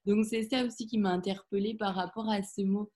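A woman's voice: mid-range voice, fairly even intonation, a little fast, warm tone. A woman hosting a podcast interview, asking curious questions and often backchanneling with short murmurs. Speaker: podcast host.

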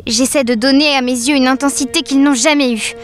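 A woman's voice: high voice, fairly even intonation, a little fast, loud music behind the voice, natural voice. A woman playing a watchful, hopeful toy doll who narrates an audio drama in an earnest, poetic way. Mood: urgent hope. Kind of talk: literary first-person audio drama narration.

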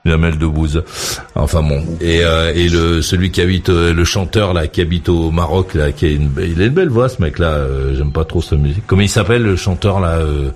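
A man's voice: sits low, fairly quick, a little mellow, slight background noise. A man hosting a late-night call-in radio show, joking and rambling, laughing often as he talks with callers. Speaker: radio talk show host.

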